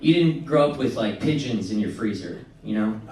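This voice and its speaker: A man doing a stand-up comedy set in a halting, self-deprecating way. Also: lowish voice, animated, noisy background. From Missouri, United States. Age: 20s. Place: indoor comedy venue. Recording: echoey club set from far off, crowd laughter. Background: audience laughter.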